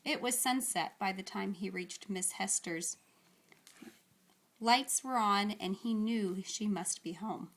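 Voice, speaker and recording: A woman reading a children's story aloud with expressive, deliberate, dramatic phrasing. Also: medium pitch.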